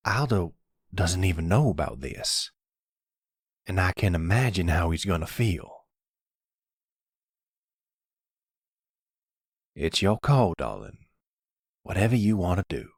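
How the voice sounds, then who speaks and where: A man playing a calm, caring older grandfather and family patriarch, measured and gentle, in an audio roleplay. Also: sits low, slightly rough, no echo, studio quality, exaggerated voice. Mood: concerned, tender. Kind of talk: ASMR audio roleplay drama.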